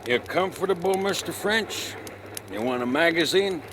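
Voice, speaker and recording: An actor playing an easygoing, needling police detective with colloquial, too-friendly talk, in 1940s radio drama style. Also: male voice, lively intonation, moderate pace, lightly acted. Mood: affable. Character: folksy, too-friendly male police detective guarding a prisoner. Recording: noisy old radio recording, train rumble.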